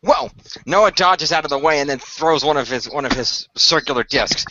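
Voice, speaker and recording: A man's voice: a little high, expressive, normal speed, highs cut off, background noise. A man improvising narration and in-character lines for a hobby radio play.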